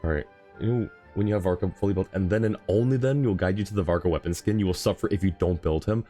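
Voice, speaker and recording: Low-voiced man chatting on a game stream. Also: quick pace, warm tone, faint music behind. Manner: chatty, thinks aloud, reads out chat. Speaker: game livestreamer. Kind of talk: casual livestream chat and game commentary.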